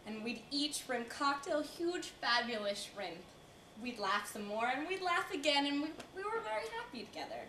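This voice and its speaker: Young woman doing a flustered old lady confiding on stage, bright voice, roomy sound from far off. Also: high voice, very animated, normal speed, exaggerated voice. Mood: dreamy, confiding. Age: young adult. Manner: flustered, confiding, dramatic stops and starts. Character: reluctant, embarrassed old American lady reminiscing about her girlhood. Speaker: student stage performer. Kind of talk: theatrical stage monologue. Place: indoor college performance before audience. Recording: echoey room, distant mic, faint hiss.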